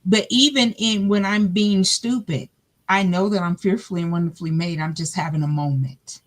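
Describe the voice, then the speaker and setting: A woman with a big, loud voice, a guest talking about her faith in a lively way and acting out her own conversations. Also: fairly low pitch, normal speed. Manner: animated, plays out her own dialogue. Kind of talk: faith testimony chat.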